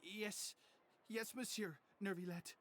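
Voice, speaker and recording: Timid, frightened underling. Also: male voice, high-pitched, fairly even intonation, slow, a bit bright. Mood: scared. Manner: hesitant, timid. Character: frightened, submissive underling caught out, adult man. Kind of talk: video game voice acting.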